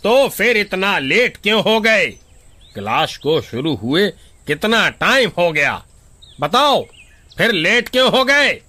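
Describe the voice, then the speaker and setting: A woman voicing a stern schoolteacher who chides and questions in an animated story. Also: medium pitch, slow, no echo, full character voice. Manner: scolding, questioning.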